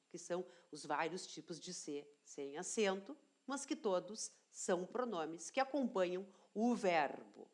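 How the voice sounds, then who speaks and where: Slow, deliberate woman teacher. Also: on the low side. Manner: slow, deliberate explaining, repeats key phrases, checks in with "tá?". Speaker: language teacher. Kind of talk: online grammar lesson.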